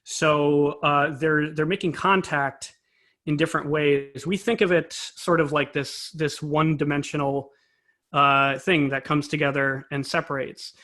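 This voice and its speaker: A man with a fairly high voice speaks as an interview guest. He explains technical matters informally and analytically, taking care over exact wording. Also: steady tone, measured pace, sharp tone. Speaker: interview guest, composer and conductor.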